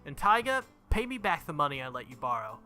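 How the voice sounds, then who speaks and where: A man's voice: on the high side, very animated, a little fast, sharp tone, soft background music, full character voice. A man voicing a cheeky, mean and confident little girl from a visual novel, taunting in a matter-of-fact way. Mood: haughty. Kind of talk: visual novel character reading.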